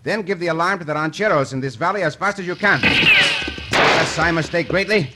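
An actor playing a bold, commanding Mexican cowboy hero in an old radio western, with formal phrasing and a Mexican accent. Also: male voice, on the high side, brisk, close to an everyday voice. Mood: grave, resolute. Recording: noisy old radio recording.

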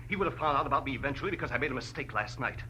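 A man's voice: mid-range voice, lively intonation, racing, pushed and bright. An actor playing a menacing, taunting male killer with precise, sneering delivery in 1940s radio melodrama. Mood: cold, impatient. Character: cornered male mine-owner villain, menacing, sneering killer. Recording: noisy, harsh old radio recording.

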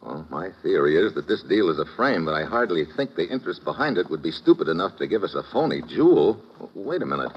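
A man's voice: low voice, big pitch swings, fast, hardly any pauses, husky, exaggerated voice. A man playing a tough, wisecracking American agent who also narrates the story in first person, in breezy hard-boiled radio drama style. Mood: confident. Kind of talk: 1950s radio drama acting. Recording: dull vintage radio broadcast with music.

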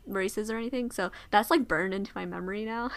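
A woman's voice: medium pitch, brisk. Chatty young woman leading a discussion. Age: young adult. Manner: chatty, reflective, asks follow-up questions. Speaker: discussion leader. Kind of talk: casual conversation.

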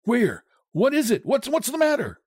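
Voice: medium pitch, huge pitch swings, moderate pace, darkish tone, slightly rough, no room sound, studio quality, voice pushed up. A narrator voicing a fearful old husband, faltering and unsteady, in a dramatic story reading. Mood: startled. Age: older. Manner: quavering, stammering, unsteady.

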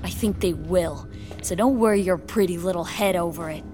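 An actress playing a menacing, volatile hostage-taker in a sci-fi audio drama, cocky, taunting and quick to snap. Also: female voice, fairly low pitch, lightly acted. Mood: furious. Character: volatile, menacing female gunwoman holding a hostage. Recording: music under the voice.

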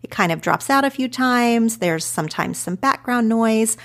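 A woman's voice: a little high, animated, normal speed, fairly bright tone. A woman in her thirties with an Oklahoma accent, introducing her podcast episode in an earnest, reflective way. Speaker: podcast host. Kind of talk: podcast intro then conversation.

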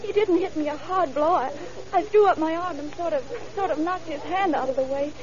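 An actress playing a shaken hospital patient in 1930s radio style, halting and stammering over her words. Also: high voice, crowd noise, natural voice. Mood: shaken, frightened. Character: shaken female hospital patient recounting an attack. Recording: dull old radio recording, murmuring background.

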